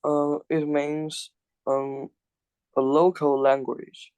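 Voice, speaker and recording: A male English student gives short, hesitant answers, filling the gaps with "uh" and "um". His voice is smooth and clear, fairly high, and he speaks slowly with a flat pitch.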